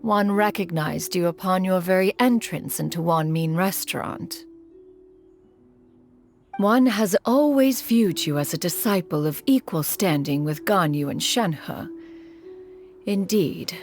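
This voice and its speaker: A woman voicing an aloof, formal female crane immortal who is master to disciples. She speaks in a measured, archaic way and calls herself "one". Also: on the low side, a little mellow.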